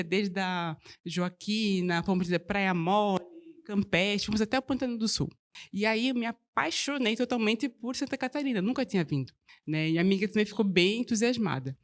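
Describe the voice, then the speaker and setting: A woman in her forties from Portugal, a studio interview guest telling her story in a relaxed, chatty way with frequent tag questions. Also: mid-range voice. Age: 40s. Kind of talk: casual interview talk.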